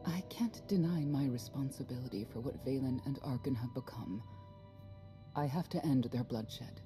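A middle-aged woman playing a regretful, proud warrior mother in a video game, speaking in a measured, wistful way. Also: a bass voice, warm tone. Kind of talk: dramatic video game acting. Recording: music under the dialogue.

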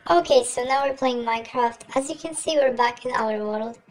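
A man's voice: moderate pace, vocal fry, low-quality recording. A young man voicing a little girl through a real-time voice changer, giving casual game commentary. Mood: playful, relaxed. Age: young adult. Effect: real-time pitch-raising voice changer.

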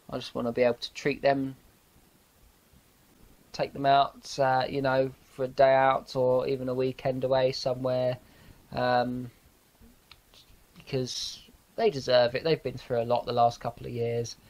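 Candid, low-key English man chatting casually. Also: poor audio. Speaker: boat life vlogger. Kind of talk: casual sit-down chat to camera. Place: small narrowboat cabin, indoors. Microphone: lapel mic.

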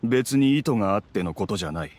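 An actor playing an arrogant, blunt young scholar in a Japanese video game, with a haughty, condescending manner. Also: male voice, on the low side, animated, quick pace, heavy background noise, close to an everyday voice. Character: arrogant, conceited scholarly male candidate, dismissive and blunt.

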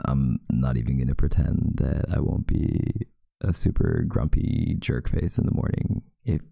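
A man playing a sleepy, teasing, affectionate boyfriend in an intimate ASMR roleplay, murmuring drowsily with husky breath and hesitant pauses, close to the mic. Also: rumbling low, mellow, no echo, exaggerated voice. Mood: drowsy, teasing. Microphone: close mic, dry.

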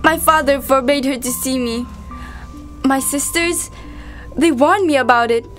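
Woman acting high-voiced, steadfast young prisoner. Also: a little slow, fairly bright tone, faint music behind. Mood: sorrowful, earnest. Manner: earnest. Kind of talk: amateur student radio drama acting.

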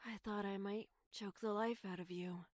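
An actress playing a vengeful, wounded young woman in an audio drama, speaking with bitter, halting intensity. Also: medium pitch, fairly even intonation, dry recording, clean studio sound, lightly acted. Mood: quiet, vengeful.

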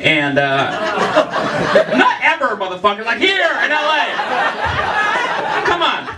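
A man from Mexico with a Mexican Spanish accent, doing an animated stand-up set on a small club stage. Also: very high-pitched, slow, roomy sound, a loud crowd, very noisy. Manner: animated, acts out voices of others. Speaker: stand-up comedian. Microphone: handheld mic. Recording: club audience laughing, mic heard from a distance.

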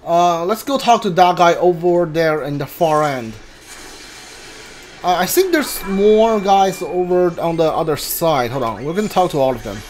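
A game streamer giving casual commentary, thinking aloud haltingly and muttering through lists over game music. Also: medium pitch, expressive. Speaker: streamer playing a video game.

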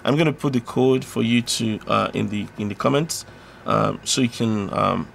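A man presenting an electronics tutorial, explaining step by step in an informal, halting way with repetitions and self-corrections. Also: on the low side, creaky, slight background noise.

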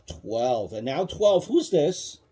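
A man reads a children's counting book at an unhurried pace. His voice is soft-edged and mellow, a little husky, and he patiently puts questions to a young listener. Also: medium pitch, sing-song. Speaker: parent reading a bedtime story to a child. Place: small child's bedroom, indoors.

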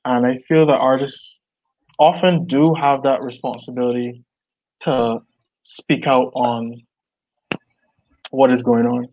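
A young man from Barbados speaks in a mid-pitched voice, earnest and thoughtful, circling back and restarting his phrases as he works out his thoughts. He is on a video call through earbuds from a small room at home. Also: little pitch movement, slow pace, pauses often, darkish tone, poor audio. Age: young adult. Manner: earnest, reflective, many likes and restarts. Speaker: artist guest. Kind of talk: casual video call interview.

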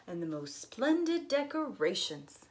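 A well-meaning, sociable storybook bear, as a woman reader plays it. The talk is slow and earnest. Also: on the high side, sing-song.

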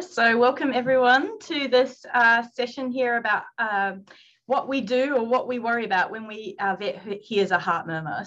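New Zealand woman lecturing, lo-fi sound. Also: medium pitch. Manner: relaxed, many fillers and restarts. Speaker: veterinary lecturer. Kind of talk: online seminar lecture.

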